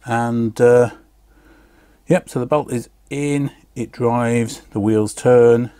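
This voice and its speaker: A man's voice: medium pitch, steady tone, takes it slowly, warm tone, smooth. A middle-aged man talking casually and methodically through a hands-on repair, step by step, at an indoor workbench. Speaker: repair video host. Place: indoor workshop bench.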